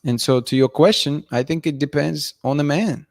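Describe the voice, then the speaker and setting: A man in his thirties hosting a night-time livestream, bluntly giving his opinions and reading chat comments aloud, his voice going hoarse. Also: a little high, normal speed, no echo. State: losing his voice, clearing throat. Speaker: livestream host. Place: dim room at night, indoors. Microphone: studio mic in front of him.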